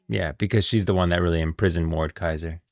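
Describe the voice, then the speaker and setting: Casting: informal male live streamer talking through game lore, low-pitched with vocal fry, dry studio-like sound. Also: fairly even intonation, quick pace, dry recording. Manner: informal, thinking aloud. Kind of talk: casual lore commentary.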